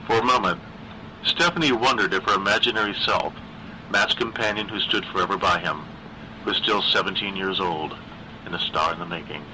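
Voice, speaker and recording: A man's voice: low voice, brisk, bright, forceful tone, a little hiss or hum, rough recording. A man narrating a horror story in a dramatic reading style.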